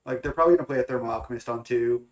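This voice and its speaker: A man's voice: mid-range voice, racing, dull audio. A young man with a stuffy, congested voice, casually talking through his card game choices and thinking aloud with lots of fillers. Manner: thinking aloud, hesitant with many fillers and restarts. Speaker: gaming content creator.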